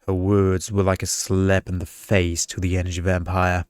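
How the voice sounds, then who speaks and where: A man's voice: sits low, monotone, moderate pace, clear, resonant tone, no echo, clean studio sound. A man reading a story as scripted ASMR narration.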